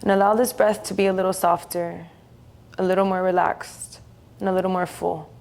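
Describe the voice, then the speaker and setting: A woman's voice: lowish voice, flat delivery, vocal fry. Soothing woman guiding a meditation. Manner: soothing, gentle, unhurried with long pauses. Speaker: meditation guide. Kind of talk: guided meditation narration.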